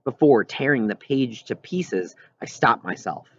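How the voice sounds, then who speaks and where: A man's voice: medium pitch, animated, a little slow, fairly bright tone, a little hiss or hum. A man reading a first-person children's novel aloud as scripted narration. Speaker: audiobook reader. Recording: dull, lo-fi recording.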